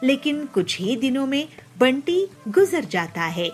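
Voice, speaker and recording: A woman's voice: sits high, big pitch swings, unhurried, faint music behind. A woman reading scripted narration for children's cartoon stories as the storyteller.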